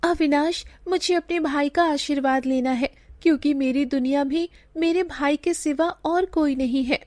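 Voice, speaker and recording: A gentle young village bride from a cartoon moral tale, voiced by a woman. Her voice is high and earnest, with a pleading, anxious edge. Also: steady tone, normal speed, no room sound, natural voice. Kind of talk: Hindi animated moral story dialogue.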